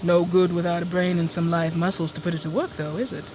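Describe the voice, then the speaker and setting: A woman's voice: fairly low pitch, little pitch movement, fast, background noise, natural voice. An actress playing a genteel, infirm woman inventor in a radio drama, with courteous, hesitant, old-fashioned speech. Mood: wry, musing. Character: genteel, infirm middle-aged woman inventor, politely apologetic and bookish. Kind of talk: radio drama monologue and dialogue.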